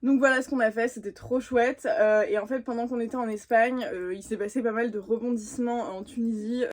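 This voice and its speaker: A woman's voice: fairly high pitch, steady tone, brisk. A young adult woman from France, chatting informally and using slang in a casual makeup vlog. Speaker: vlogger.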